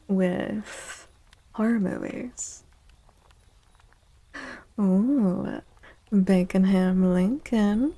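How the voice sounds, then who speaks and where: Female ASMR streamer: soft, mellow and unhurried, with playful, mock-dramatic teasing. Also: mid-range voice, vocal fry. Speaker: livestreamer doing tarot readings for chat.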